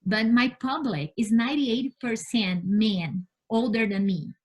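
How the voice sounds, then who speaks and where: A woman from Brazil, a native Portuguese speaker with a Brazilian accent, answering interview questions as a scientist in an earnest, halting way. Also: on the high side, darkish tone, slightly rough. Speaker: interview guest, space scientist and mentor.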